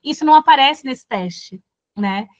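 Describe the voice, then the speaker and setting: Soft-toned Brazilian woman teaching. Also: high voice, lively intonation, unhurried, dry recording, dull audio. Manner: thoughtful, many fillers and restarts. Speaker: course facilitator. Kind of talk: casual classroom talk.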